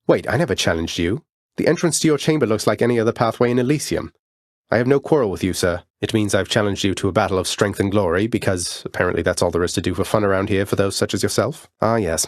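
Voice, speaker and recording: Man acting as young underworld prince, video game hero, low voice, polite, wry, determined, dry studio sound. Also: no echo, close to an everyday voice. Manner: courteous, dry wit, often says sir. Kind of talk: scripted video game voice lines.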